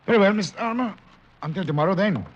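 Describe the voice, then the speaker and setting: Radio drama role: polite, probing Chinese power broker, lilting wide-ranging delivery, formal, faintly noisy forties recording. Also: male voice, sits high, expressive, dull audio. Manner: formal, smoothly polite, deliberate. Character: powerful, courteous, probing Chinese man of influence. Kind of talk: 1940s radio acting.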